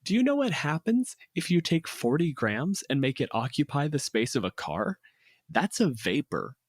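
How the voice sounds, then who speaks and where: A man's voice: fairly high pitch, big pitch swings, normal speed, slightly rough, no room sound, clean studio sound. A man in a casual podcast chat, explaining his opinions in an opinionated way and swearing freely. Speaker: podcast host.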